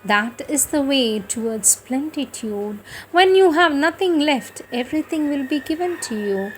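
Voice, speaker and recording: A woman reciting spiritual prose devotionally, in a solemn, declamatory manner with measured phrasing and pauses. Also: high-pitched, lively intonation, a little hiss or hum, poor audio. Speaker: reader of spiritual writings.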